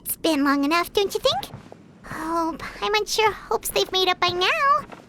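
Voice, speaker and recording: A woman voicing a tiny, chirpy, childlike fairy companion in an animated game, with a squeaky, excitable delivery. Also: a little slow, noisy background, exaggerated voice. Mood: worried. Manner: refers to self in third person, excitable.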